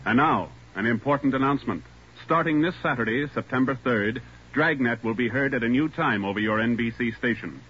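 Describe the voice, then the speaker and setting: A man's voice: mid-range voice, brisk. An American man announcing on radio in a formal, scripted 1940s style. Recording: muffled 1940s radio broadcast.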